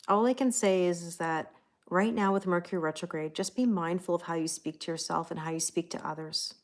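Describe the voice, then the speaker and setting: Middle-aged lady doing a tarot live stream, lowish voice, chatty, thanks everybody by name. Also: fairly even intonation, a little fast. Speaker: tarot reader hosting a livestream. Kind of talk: casual livestream chat, greeting viewers.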